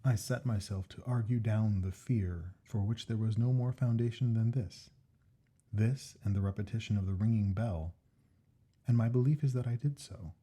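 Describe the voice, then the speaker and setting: Guy reading an old ghost story out loud, soft dark husky voice, measured n expressive. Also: fairly low pitch. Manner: measured, expressive, deliberate phrasing. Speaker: story reader. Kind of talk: scripted narration of a classic ghost story.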